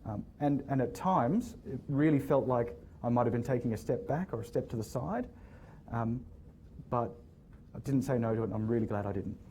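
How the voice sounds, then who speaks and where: A man's voice: medium pitch, moderate pace, dark tone, slight background noise. A man, a hotel general manager, talking casually to a room of students and answering questions, often repeating words as he starts sentences. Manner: hesitant, repeats words, friendly storytelling. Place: lecture room with audience.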